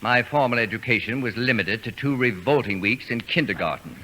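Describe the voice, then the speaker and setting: An actor playing a pompous, self-satisfied male novelist with deadpan, precise formal diction in an old radio comedy. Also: mid-range voice, brisk, natural voice. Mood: haughty, deadpan. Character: haughty self-declared genius, an imperious male novelist. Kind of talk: 1940s radio comedy acting. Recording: noisy old radio broadcast recording.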